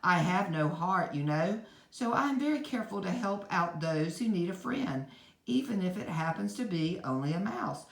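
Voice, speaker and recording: A woman reading the lines of a kind, gentle tin man from a storybook in her own voice. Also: on the low side, expressive, normal speed, vocal fry. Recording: echoey room.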